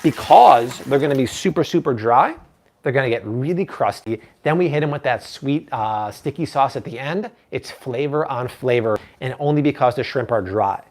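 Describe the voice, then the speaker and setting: A man's voice: medium pitch, animated, moderate pace, darkish tone. Upbeat man hosting a live cooking stream. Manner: upbeat, chatty, talks to viewers and reads their comments. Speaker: cooking show host. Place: home kitchen.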